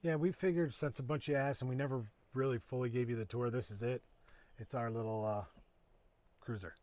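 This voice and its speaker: A man in his thirties giving a casual, matter-of-fact boat tour, a little quiet because he is off the mic. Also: mid-range voice, steady tone, a little fast. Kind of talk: casual walkthrough vlog. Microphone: no mic of his own, picked up from a distance. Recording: noisy audio with clicks.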